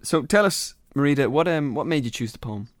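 A man's voice: medium pitch, animated, fairly quick, no echo, studio quality. A man with an Irish accent presenting and interviewing on a radio programme about poetry. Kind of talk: scripted radio introduction and conversational interviewing.

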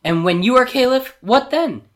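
A man voicing a small, obsequious servant in a storybook, flattering his villainous master with excitable eagerness. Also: mid-range voice, normal speed. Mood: eager.

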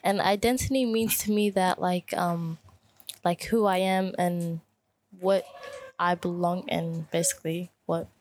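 A woman's voice: fairly low pitch, steady tone, measured pace, darkish tone. A teenage schoolgirl from Australia on a youth panel, speaking casually and a little hesitantly, with lots of "like". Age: teens. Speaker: student panelist.

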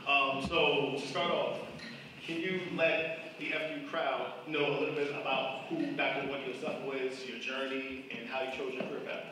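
A man's voice: medium pitch, steady tone, moderate pace. A man hosting a live event in a casual, colloquial way, introducing and interviewing a guest before an audience. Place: large indoor room at a live event. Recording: distant echoey hall, faint noise.

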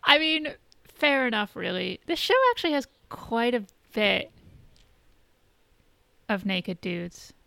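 Woman podcast host, lots of vocal fry, dry close sound, halting casual explaining, says you know a lot. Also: on the high side, expressive, sharp tone, no echo. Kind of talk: casual chat, explaining a TV show.

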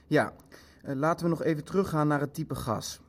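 An actor playing a pragmatic, calculating Nazi official in a radio play, talking in a clinical, matter-of-fact way. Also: male voice, a little high, a little fast. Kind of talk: Dutch radio play dialogue.

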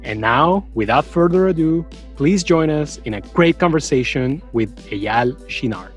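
A man's voice: fairly high pitch, expressive, unhurried, faint music behind. A man hosting a podcast, reading a formal introduction and then politely welcoming his guest. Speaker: podcast host. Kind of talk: scripted intro, then interviewing.

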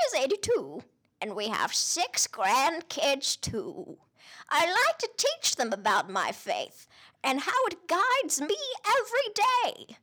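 Storybook grandparent of eighty-one, loving and proud, played by a woman; high and bright. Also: full character voice.

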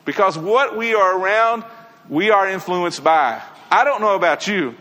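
Male preacher, earnest and conversational, high bright voice, sermon in an echoing church hall. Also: animated, moderate pace. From Tennessee, USA. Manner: earnest, conversational, addresses congregation directly. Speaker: preacher giving a morning lesson. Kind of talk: sermon with prayerful asides.

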